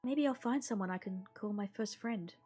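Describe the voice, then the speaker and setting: A woman with a somewhat raspy, mid-pitched voice is streaming a visual novel. She reads the narration aloud with expression and drops in casual asides. Game music plays behind her voice. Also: normal speed, warm tone. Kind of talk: let's play, reading game text aloud with casual asides.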